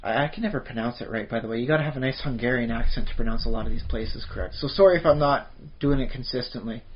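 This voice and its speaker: A man's voice: mid-range voice, quick pace, a little hiss or hum. A man from Canada co-hosting a podcast, chatting casually about tabletop games and rambling with many fillers and restarts. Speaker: podcast co-host. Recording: dull lo-fi podcast audio.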